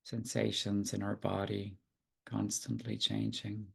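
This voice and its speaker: A man guiding a meditation, giving gentle, unhurried instructions with long pauses. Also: lowish voice, level tone, vocal fry, breathy edge. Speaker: meditation guide. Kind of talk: guided meditation.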